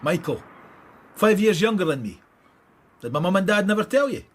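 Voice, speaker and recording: An actor playing a brooding, wry Scottish police detective in a radio drama, with a husky voice. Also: male voice, high voice, very animated, normal speed, a little hiss or hum. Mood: dry, guarded. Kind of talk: radio drama acting with reflective narration.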